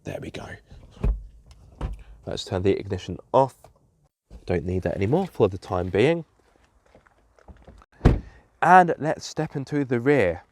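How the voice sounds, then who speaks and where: A man's voice: on the low side, expressive, slow, long pauses, darkish tone, a little hiss or hum. A young man with a blocked nose, sounding slightly nasal, giving a chatty car review from inside the vehicle and often correcting himself. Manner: chatty, self-correcting with fillers. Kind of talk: casual car walkaround review.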